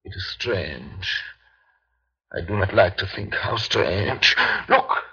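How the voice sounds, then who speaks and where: A grave doctor professor, very raspy and sharp, emphatic, muffled old radio. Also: male voice, deep voice, expressive, slow pace, full character voice. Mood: grave, ominous. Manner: clipped, emphatic, ominous. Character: grave, commanding foreign professor and physician. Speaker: actor. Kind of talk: 1930s radio drama acting.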